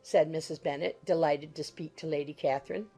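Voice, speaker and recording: A woman's voice: sits low, fairly even intonation, fairly quick, warm tone, soft background music. A woman reading scripted literary narration as an audiobook narrator.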